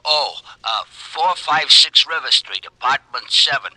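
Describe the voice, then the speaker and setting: A man's voice: a little high, lively intonation, unhurried, bright, forceful tone, heavily acted, rough recording. A man acting a hesitant, put-upon American junior policeman in an old radio drama. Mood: sheepish.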